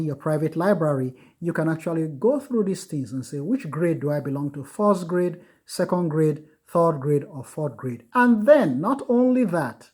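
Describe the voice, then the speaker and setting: A man giving an informal lecture, earnest and repetitive, with many filler pauses. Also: a little high, a little mellow, slightly rough. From United States. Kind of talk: informal recorded lecture on Buddhism.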